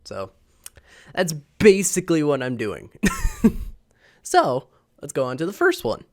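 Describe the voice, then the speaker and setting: A man's voice: on the high side, wildly swooping pitch, unhurried. A young man, a little sick, casually reading online posts to the camera with lots of fillers and chuckles. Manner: rambling, chuckling, many fillers. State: slightly sick. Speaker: channel host reading posts. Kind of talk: casual vlog reading aloud. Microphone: camera mic.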